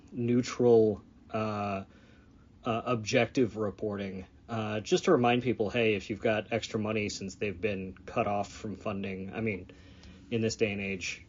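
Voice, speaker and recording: A man's voice: lowish voice, highs cut off. A man giving a casual, conversational podcast introduction as host, feeling his way through his phrases.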